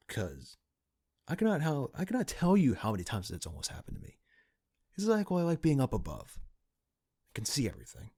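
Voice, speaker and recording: A man playing a cynical, pragmatic partner in crime to a vigilante in an ASMR roleplay, talking in a casual, halting, conspiratorial way. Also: medium pitch, gravelly, dark tone. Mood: dry, uneasy. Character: cynical, pragmatic male criminal accomplice to a vigilante.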